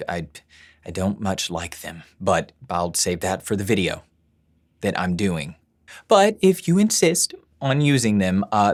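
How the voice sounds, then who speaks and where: Joking man explaining studio gear. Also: on the low side. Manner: chatty, joking and self-deprecating. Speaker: voice-over studio owner and teacher. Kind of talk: gear tutorial video. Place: studio at work.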